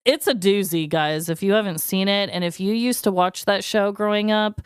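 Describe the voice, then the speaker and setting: A woman's voice: medium pitch, normal speed, clear, resonant tone, no room sound, studio quality. An American woman in her thirties, a podcast host telling personal stories in a candid, chatty, casual way and swearing freely.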